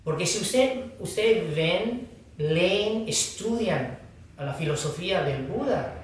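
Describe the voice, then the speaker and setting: A man speaks in slow, halting phrases, teaching the audience as a Buddhist teacher. His voice sits fairly high, and he hesitates often between ideas. The sound comes from a distance and rings through a very echoey hall, with faint noise behind it. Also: animated. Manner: slow, halting, with pauses and fillers. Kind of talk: dharma talk. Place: hall of a foundation. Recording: echoey room, distant mic.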